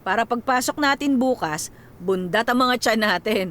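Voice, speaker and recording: A woman's voice: a little high, moderate pace, a bit bright, natural voice. A Filipino woman playing a mischievous, breezy college student of twenty in a radio drama. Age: 20s. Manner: jokey, breezy. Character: mischievous, well-off twenty-year-old female college student.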